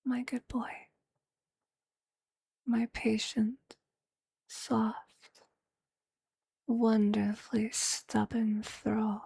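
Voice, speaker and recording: A performer voicing a tender, possessive, motherly vampire in a soft, breathy whispered ASMR roleplay, speaking slowly and intimately in formal, poetic phrases. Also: medium pitch, level tone, no room sound, full character voice.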